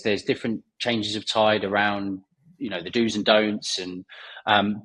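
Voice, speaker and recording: A male podcast host with a husky, fairly low voice. He introduces his guest in a loose, rambling way, full of "you know"s and restarts. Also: fairly bright tone, low-quality recording. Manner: rambling, many fillers and restarts.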